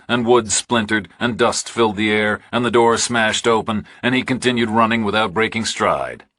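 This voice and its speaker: A man reads scripted thriller fiction in a very low, husky voice. His delivery is measured and precise, each detail set out deliberately. The recording is dry and close, with no room sound. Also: pushed and bright, no echo. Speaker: audiobook narrator.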